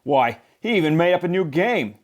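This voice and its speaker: A man reading a children's picture book aloud in expressive narration, with emphatic, deliberate phrasing. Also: on the high side. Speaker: storybook reader.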